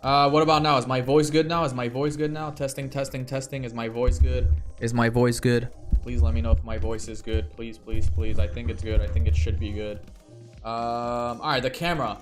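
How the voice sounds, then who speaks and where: Guy doing a crypto live stream, rambly, lots of ums, mid pitch, faint music behind. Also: fairly even intonation, moderate pace. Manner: rambling, many fillers and restarts. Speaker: crypto trading livestreamer. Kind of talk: casual live stream commentary.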